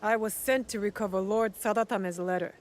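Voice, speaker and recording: A woman's voice: mid-range voice, fairly even intonation, fairly quick, close to an everyday voice. A woman playing a guarded, vengeful young warrior in a video game, terse and direct. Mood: cool, determined. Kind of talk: dramatic video game cutscene acting.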